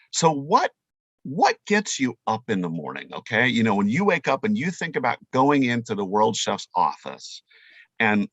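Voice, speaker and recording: A man's voice: on the low side, no echo, muffled recording. A man who works as a chef and presenter, talking in a casual, playful, emphatic interview style. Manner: animated, informal, playful, emphatic.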